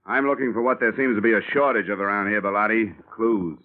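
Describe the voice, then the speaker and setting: A man's voice: fairly low pitch, fast talker, lightly acted. An actor playing a wisecracking insurance investigator who narrates his own case, with a dry manner, in a 1950s radio drama. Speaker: narrator and lead. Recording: tinny 1950s radio broadcast.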